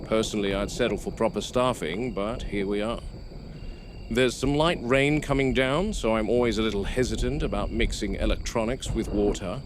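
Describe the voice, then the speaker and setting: An actor playing a wary, weary male game warden at a dinosaur park, narrating a horror audio log in a measured, grave way. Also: on the low side, natural voice. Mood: uneasy, dry. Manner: measured, grave, deliberate field commentary. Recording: noisy VHS-style field recording with outdoor ambience.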